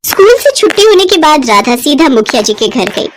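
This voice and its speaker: A woman's voice: sits high, very animated, a little fast, a little hiss or hum. A woman narrating a scripted moral bedtime story as a storyteller.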